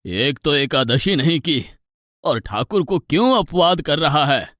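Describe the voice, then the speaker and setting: A man playing a stern, pious old ashram guru in an animated moral story, admonishing and patient but exasperated. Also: a little high, measured pace, no echo, clean studio sound. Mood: reproachful. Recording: music bed behind the voice.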